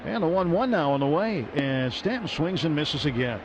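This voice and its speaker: A man calling a baseball game on the radio as play-by-play announcer, brisk and lifting with excitement on big plays. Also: a little high. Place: ballpark broadcast booth. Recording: muffled broadcast audio with crowd noise.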